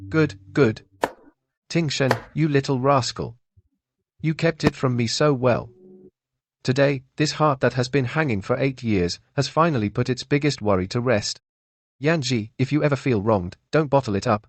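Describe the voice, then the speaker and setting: Guy doing an old grandpa in a family drama, fond patriarch, mid pitch, very dry sound. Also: normal speed, no echo, lightly acted. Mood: relieved, fond. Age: older. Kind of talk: dubbed radio drama acting.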